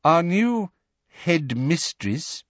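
Man voicing a high, stern schoolmistress. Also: sing-song, very slow, no room sound, lo-fi sound, exaggerated voice. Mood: stern, formal. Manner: formal, clipped, admonishing. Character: stern, prim older female schoolteacher.

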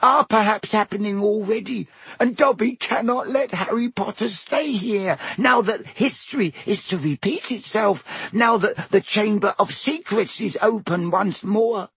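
A squeaky, servile male house elf, all nerves and pleading, in a storybook reading. The voice is high and husky, recorded close and dry. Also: unhurried, fairly bright tone, no room sound, full character voice. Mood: fervent. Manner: servile, high-pitched pleading, many "sir"s. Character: timid anxious male house elf servant.

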